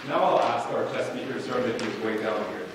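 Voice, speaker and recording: A man's voice: medium pitch, expressive, fast talker. A man announcing names and giving instructions formally as a speech contest announcer. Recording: echoey hall, distant mic, background noise.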